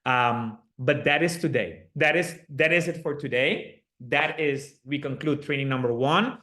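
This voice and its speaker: A man's voice: mid-range voice, unhurried, pushed and bright. An upbeat man with a slight Aruban accent runs a live online coaching session and laughs easily between points. His speech is chatty and encouraging. It comes through a video call. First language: Papiamento.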